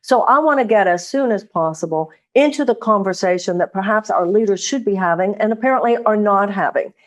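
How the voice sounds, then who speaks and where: A woman hosting a serious political interview, earnest and measured, building her points in long sentences. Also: medium pitch. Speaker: host.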